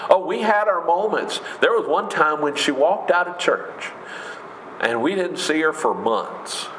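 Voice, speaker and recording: A man with a big booming voice preaching a sermon in an emphatic, conversational way, with rhetorical questions. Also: lively intonation, unhurried, a bit bright, a little hiss or hum. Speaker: pastor. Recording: echoey room with faint ambience.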